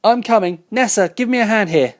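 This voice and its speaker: A man reading aloud who voices a frantic woman shouting urgently for help across a wrecked bar. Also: mid-range voice, fairly quick, pitched above the performer's own voice, natural voice.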